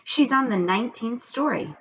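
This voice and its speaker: Woman playing hesitant little schoolboy, plaintive, puzzled, lively pitch, children's story. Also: moderate pace, breathy edge. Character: hesitant, bewildered young schoolboy. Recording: dull lo-fi recording with background noise.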